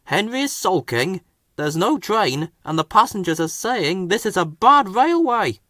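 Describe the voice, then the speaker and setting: Guy doing a jittery station master, pitched way up, worried. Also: very animated, a little slow, pushed and bright, no echo, studio quality, heavily acted. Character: flustered male station master.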